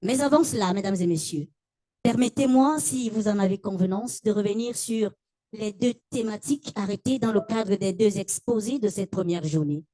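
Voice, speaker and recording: A woman's voice: mid-range voice, moderate pace, warm tone, no echo. A woman, presenting a formal ceremony as master of ceremonies in a stately, ceremonious manner. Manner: ceremonious, stately, often addressing the audience.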